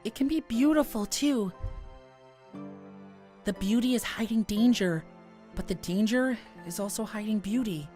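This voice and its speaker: A woman voicing a shy, gentle young male forest dweller in a visual novel, speaking in a halting, earnest way. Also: fairly high pitch, faint music behind, lightly acted. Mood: gentle, thoughtful. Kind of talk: visual novel character acting.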